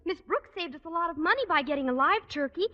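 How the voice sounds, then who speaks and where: Very high girlish teenage daughter. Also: animated, fast, dull audio. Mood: reassuring, pleading. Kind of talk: 1940s radio comedy acting. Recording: noisy old radio recording.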